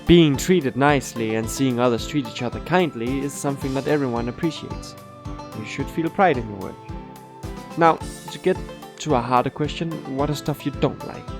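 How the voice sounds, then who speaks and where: A man's voice: medium pitch, moderate pace, music in the background, natural voice. A man playing a courteous, encouraging board interviewer in an amateur audio drama, speaking formally.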